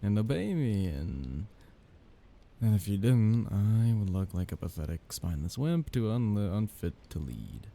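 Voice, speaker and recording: A man playing a soft-hearted, nervous young mafia heir in an intimate ASMR romance roleplay, speaking softly, haltingly and apologetically. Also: on the low side, very animated, vocal fry, exaggerated voice. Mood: awkward, reluctant.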